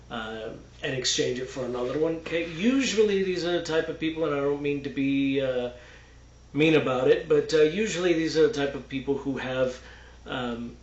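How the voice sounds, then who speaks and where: Texas-raised man, mid pitch, halting unscripted explainer, dull roomy sound. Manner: halting, many fillers and restarts, explains with "okay" and "right". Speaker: religious commentator. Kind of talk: unscripted video monologue.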